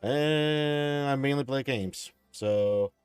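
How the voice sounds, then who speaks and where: A man streaming from home, sounding sleepy and relaxed as he chats casually with viewers and chuckles often. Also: medium pitch, very slow, smooth, dry recording, faint music behind. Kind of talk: casual live-stream chat.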